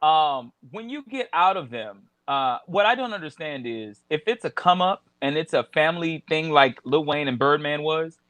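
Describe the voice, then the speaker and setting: High, bright-voiced Southern man chatting. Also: animated, normal speed, hardly any pauses, no room sound. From American South. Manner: chatty, many fillers and restarts. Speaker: podcast co-host. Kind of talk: casual chat about celebrity news.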